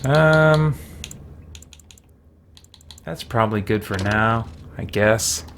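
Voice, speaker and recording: A man's voice: on the low side, very slow, fairly bright tone, slight background noise. A man doing casual game livestream commentary, thinking aloud and muttering short remarks to himself as he chats to viewers.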